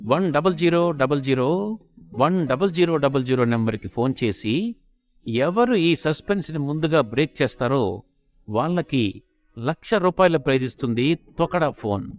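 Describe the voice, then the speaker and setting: A man's voice: fairly high pitch, animated, moderate pace, lightly acted. A man voicing a stylish female FM radio jockey who stretches her words showily in a comedy story. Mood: showy.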